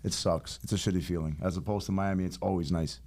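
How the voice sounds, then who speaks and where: Young male podcast host from New York, slightly low voice, loose and profane. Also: fairly even intonation, fast talker, darkish tone. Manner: laid-back, swears freely, rambling. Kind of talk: casual podcast chat.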